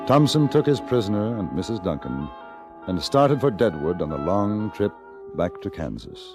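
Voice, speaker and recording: A man's voice: lowish voice, big pitch swings, moderate pace. An actor playing a sober frontier man narrating his own story in a scripted radio Western. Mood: sober, measured. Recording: loud music under radio drama.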